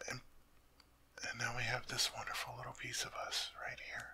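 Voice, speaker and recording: A man voicing a doting young king in an audio roleplay, his voice gravelly and husky with a bright, tense edge. He stammers and repeats himself when flustered, then softens into gentle whispers. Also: mid-range voice, monotone, moderate pace, full character voice. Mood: tender whisper. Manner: soft tender whispering. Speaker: ASMR roleplay performer.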